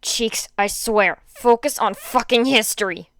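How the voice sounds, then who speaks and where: A woman voicing a brash, excitable teenage girl with an emphatic, gasping delivery in a story reading. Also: on the high side, a little slow, no room sound. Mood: gasping amusement.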